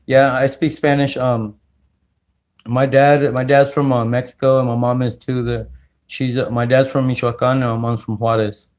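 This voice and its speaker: A man from California hosting a casual livestream, talking slowly with many fillers as he greets viewers. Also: medium pitch, level tone. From California, United States. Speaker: livestream host. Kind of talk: casual livestream chat while sorting coins.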